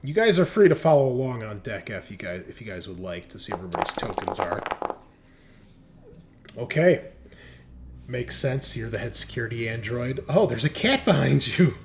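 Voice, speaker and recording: A man's voice: medium pitch, big pitch swings, normal speed. A man narrating a tabletop game as game master, improvising in a casual, conversational way. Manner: conversational, colloquial, with some profanity. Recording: clear background noise.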